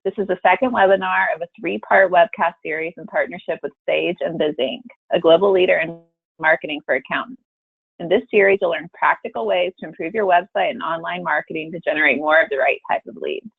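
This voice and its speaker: A woman's voice: lowish voice, fairly quick, creaky, slightly rough, no room sound. A woman who works as a marketing manager opens a webcast with a polished, scripted introduction of the guest speaker. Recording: tinny phone line, dry.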